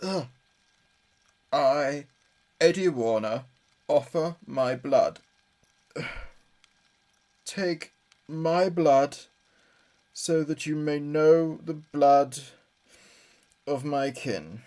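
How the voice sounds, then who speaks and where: An actor playing a grieving, desperate son leading a séance, with solemn, halting, incantatory delivery in a horror audio drama. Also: male voice, on the high side, a little mellow. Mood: solemn, intense.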